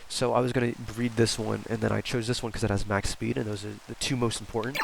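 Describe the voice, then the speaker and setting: A man's voice: fairly low pitch, flat delivery, fast talker, vocal fry, noisy background. A congested young man with a cold, chatting casually over his gameplay and rambling with fillers and restarts. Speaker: gaming YouTuber. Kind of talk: casual Let's Play commentary.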